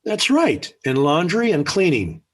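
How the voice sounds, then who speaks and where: A man's voice: on the high side, huge pitch swings, measured pace, full character voice. An actor playing a smug, scheming middle-aged American father in 1940s radio sitcom style, with pompous, emphatic speechifying. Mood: needling. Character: smug scheming family father, blustery and theatrical. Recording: old 1940s radio recording.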